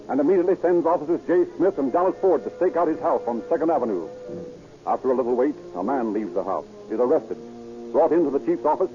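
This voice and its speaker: A man narrating a vintage radio crime drama in a solemn, florid, scripted style. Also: medium pitch. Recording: muffled old radio recording.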